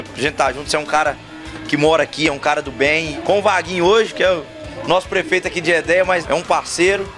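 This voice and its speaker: Guy from a singing duo gushing thanks backstage, high voice, band blasting behind. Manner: effusive, grateful. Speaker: singer in a country duo, interviewed. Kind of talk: backstage press interview. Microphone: handheld interviewer mic.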